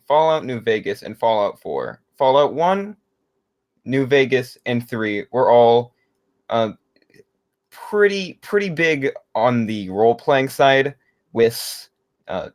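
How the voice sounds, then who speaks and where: Easygoing young man, middle pitch. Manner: easygoing and laid-back, chuckling often. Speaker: podcast guest. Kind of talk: casual gaming podcast chat.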